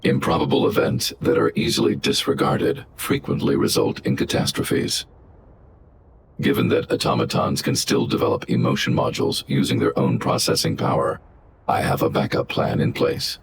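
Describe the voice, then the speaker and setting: A man playing a calm, logical robot guardian in a video game, speaking in a measured, formal, emotionless way. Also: very deep voice, vocal fry, background noise. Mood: flat, analytical. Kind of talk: scripted video game voice acting.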